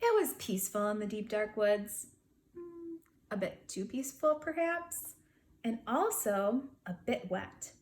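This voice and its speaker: A woman's voice: on the high side, expressive, unhurried, a little hiss or hum. A woman voicing a small, indignant, cunning furry beast who tells his storybook tale dramatically. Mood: musing. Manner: dramatic, aggrieved storybook telling.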